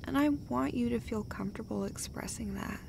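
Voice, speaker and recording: A woman playing a caring, gentle girlfriend in an intimate roleplay audio, soft-spoken and hesitant, often slipping into a breathy whisper. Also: on the high side, normal speed, noisy background. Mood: reassuring, lightly teasing. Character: caring, gentle, casually sweary girlfriend comforting her partner.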